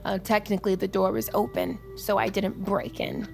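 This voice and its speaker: Young woman soldier, creaky voice, flippant and cussing, radio drama with music under it. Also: medium pitch, big pitch swings, moderate pace, darkish tone. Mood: wry, teasing. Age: young adult. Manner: sardonic, flippant, profane. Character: cocky, irreverent young female army recruit. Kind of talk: naturalistic audio drama acting.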